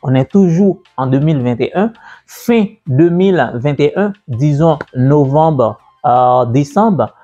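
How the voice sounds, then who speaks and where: Man, entrepreneur vlogger, warm husky voice, dull lo-fi sound with faint music behind him. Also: on the high side, animated, slow pace. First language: French. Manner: conversational, repeats himself, many fillers. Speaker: entrepreneur and business coach. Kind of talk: personal story told to camera.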